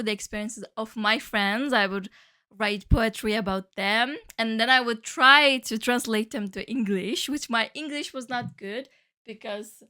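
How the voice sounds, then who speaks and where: A young woman from Northern Cyprus, a native Turkish speaker, musing softly about how she started creating. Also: mid-range voice, clean tone. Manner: soft, musing, many fillers. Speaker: musician sharing her story. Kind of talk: reflective personal monologue.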